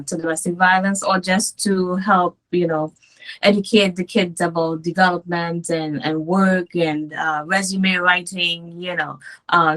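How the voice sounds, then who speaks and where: A woman with a fairly low voice, talking easily as a guest on a video call. She chuckles a lot between thoughts and fills pauses with ums. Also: fairly bright tone, low-quality recording. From Trinidad and Tobago. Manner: easygoing, chuckles often, many filler ums. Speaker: guest, domestic violence coach. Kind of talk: casual interview chat.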